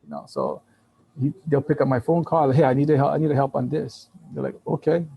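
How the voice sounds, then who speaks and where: A man of fifty, raised in Taiwan and Hawaii with Taiwanese as his first language, telling business stories as a guest in a casual interview with lively restarts and laughs. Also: mid-range voice, a little fast, creaky, husky, lo-fi sound. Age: 50s. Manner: animated storytelling with frequent restarts and laughs.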